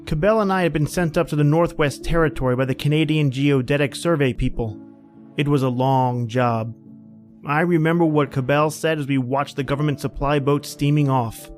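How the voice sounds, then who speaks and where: A man playing a calm, plain-spoken surveyor in a radio drama, narrating and talking through a lonely Arctic winter. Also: a little high, moderate pace, soft background music, close to an everyday voice. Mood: reflective, grave.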